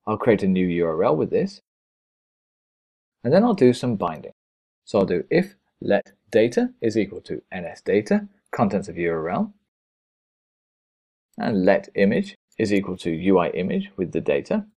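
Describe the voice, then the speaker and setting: A man's voice: low-pitched, huge pitch swings, normal speed, pauses often, dark tone. A man narrating a step-by-step programming screencast as an instructor, in a methodical, explanatory way.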